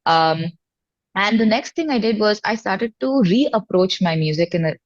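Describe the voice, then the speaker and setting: A woman's voice: medium pitch, lively intonation, moderate pace, no echo. A woman musician talking candidly as an interview guest, earnest and emphatic, often repeating phrases for weight. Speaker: singer-songwriter, interview guest. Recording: dry but slightly muffled audio.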